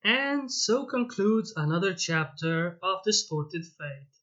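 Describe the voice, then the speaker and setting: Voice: mid-range voice, animated, takes it slowly, a bit bright, highs cut off. A fan fiction narrator reading a scripted story aloud, with a channel host's direct address. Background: music.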